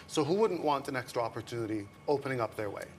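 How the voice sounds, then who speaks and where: A man whose first language is Arabic, a fashion designer guest on a morning TV interview, relaxed and chatty and quick to laugh. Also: medium pitch. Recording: TV studio with faint background noise.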